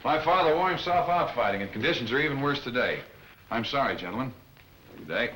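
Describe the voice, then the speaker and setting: An actor playing a brisk, wry, self-assured newspaper editor in 1940s film serial style, clipped and authoritative. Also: male voice, medium pitch, expressive, fairly bright tone, slight background noise, lightly acted. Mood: wry, firm. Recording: echoey old film soundtrack, music behind.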